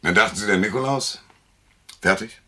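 An actor playing a world-weary, laconic private detective who narrates in a dry, sardonic, gravelly way in a German noir radio drama. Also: male voice, lowish voice, animated, normal speed, sharp tone, no echo, full character voice. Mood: curt, commanding.

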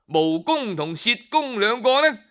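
High-voiced, unhurried Cantonese male storyteller. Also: big pitch swings, a bit bright, smooth. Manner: theatrical, emphatic, deliberate phrasing. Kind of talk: traditional Cantonese storytelling, dramatic narration.

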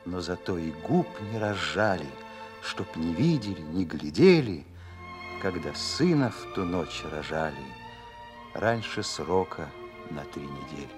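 A man reciting verse as narrator of a radio play, in a solemn, measured manner. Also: deep voice, big pitch swings. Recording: music behind.

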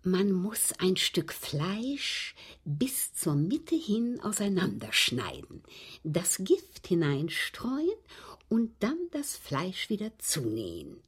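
A ladylike old widow in a radio drama, with a husky, breathy voice that rises and dips expressively. She speaks precisely and without hurry, composed and slyly evasive about what she has done. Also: lowish voice, huge pitch swings, slow pace, full character voice. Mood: matter-of-fact, sly. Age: older. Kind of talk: German radio drama acting.

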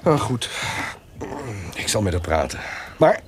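Grumbly wary 1700s sidekick, lowish voice all over the place, radio play, noisy recording. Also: male voice, sing-song, measured pace. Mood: wary, grumbling. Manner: exclamatory, exasperated, mimicking others. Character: wary, grumbling 18th-century male companion of an adventuress. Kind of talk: theatrical Dutch radio drama acting. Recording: clear background noise with clicks.

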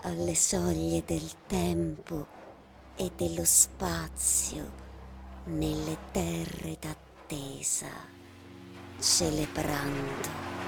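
An actress playing a solemn narrator of cosmic time, reading slowly and deliberately in a radio theatre style. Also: female voice, fairly low pitch, heavily acted. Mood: hushed, contemplative. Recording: clear background noise.

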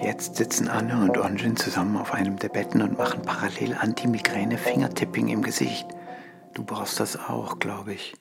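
Detached narrator played by an actor, fry-heavy and deadpan, scripted docu-soap commentary over loud music. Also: mid-range voice, normal speed, lightly acted. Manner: detached, present-tense scene description.

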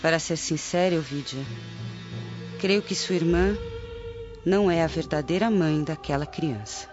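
Earnest Brazilian aunt over loud music. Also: female voice, low voice, unhurried. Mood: earnest, confiding. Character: compassionate, grave Roman aunt confiding family troubles. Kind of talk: Brazilian radio drama acting. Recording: dull radio drama, loud music behind.

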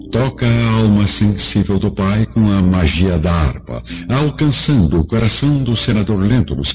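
A man narrating a radio drama from a script in a solemn, storytelling manner. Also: sits low. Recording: dull lo-fi audio under music.

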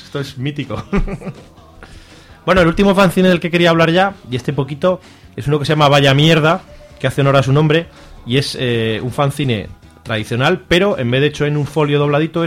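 A man from Spain talks at a very quick clip in loose, colloquial radio chat. His pitch sits in an ordinary middle range, and he is talkative and jokey. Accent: Spanish from Spain. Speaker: radio show contributor reviewing comics and fanzines.

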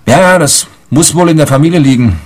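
A man playing a husky, oddly unruffled client. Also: on the high side, a little fast, close to an everyday voice. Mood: dismissive. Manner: matter-of-fact about absurd things. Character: eccentric male client, unworried, defending his crazy brother. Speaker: actor in comic detective radio play. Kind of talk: comic radio play acting.

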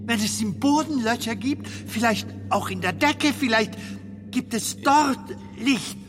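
An actor in a German radio drama playing an eager, playful male film comedian lost in darkness, with a theatrical, expressive delivery. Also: very high voice, measured pace. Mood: urgent, excited. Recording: long hall reverb, music behind.

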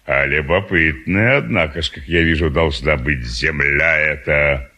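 An actor playing a ponderous, curious and formally polite official in a classic stage comedy, deliberate and ruminating in his speech. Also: extremely low pitch, sharp tone, exaggerated voice. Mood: curious, pondering.

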